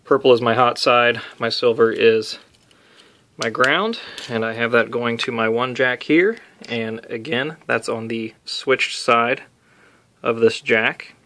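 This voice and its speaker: A man's voice: medium pitch, slow, bright, forceful tone. A man talking casually through a hands-on tutorial, unscripted and lightly self-deprecating. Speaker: DIY video maker demonstrating an amp modification.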